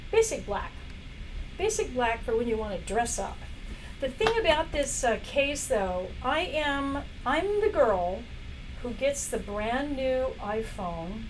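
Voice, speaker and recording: Woman, live stream host, hoarse and fading voice, slow and chatty delivery, faint room noise behind. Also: a little high. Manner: chatty, apologetic, frequent coughs and throat clearing. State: hoarse, losing her voice. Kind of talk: casual product demo live stream. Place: indoor workplace studio.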